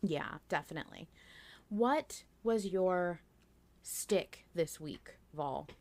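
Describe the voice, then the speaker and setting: A woman's voice: fairly low pitch, animated, slow, a little mellow. A woman co-hosting a podcast in casual fan discussion, talking in a giggly, casually sweary way. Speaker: podcast host.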